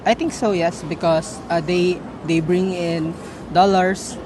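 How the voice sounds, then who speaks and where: A woman's voice: fairly low pitch, steady tone, takes it slowly. A young woman from the Philippines with a Filipino accent, answering a street interview hesitantly with many fillers. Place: outdoors on a city street. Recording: street noise and traffic.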